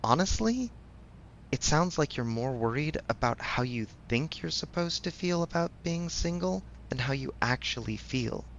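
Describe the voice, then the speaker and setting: A man's voice: fairly high pitch, animated, normal speed, a bit bright, dull audio, slight background noise. A man playing a supportive best friend in a casual audio roleplay, speaking frankly with affectionate teasing. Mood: blunt, reassuring. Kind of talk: ASMR audio roleplay, conversational.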